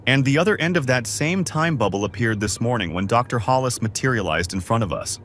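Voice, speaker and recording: A man's voice: medium pitch, expressive, brisk, a bit bright, lightly acted. A man playing a courteous, methodical crew brother in a science fiction fan audio drama. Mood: explanatory. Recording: faint background noise.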